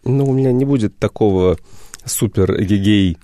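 Mellow, low-voiced man searching for words. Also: moderate pace, no room sound. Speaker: history educator, guest. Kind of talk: radio interview. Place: radio studio.

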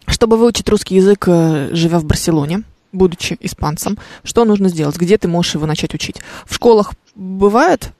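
A man hosting an interview, asking brisk questions and reading out viewer comments. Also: high-pitched, a little mellow, no echo, studio quality. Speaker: host.

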